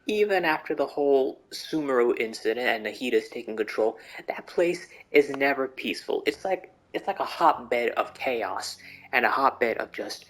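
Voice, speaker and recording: A man's voice: mid-range voice, expressive, normal speed, fairly bright tone, rough recording. A young man giving casual, joking reaction commentary as a game streamer, slangy and repeating phrases for emphasis. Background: game music.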